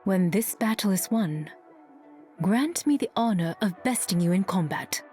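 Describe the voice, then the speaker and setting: A woman acts as a formal, proud fighter from a video game. Her mid-pitched voice is measured and challenging, with music behind. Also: expressive, mellow, close to an everyday voice.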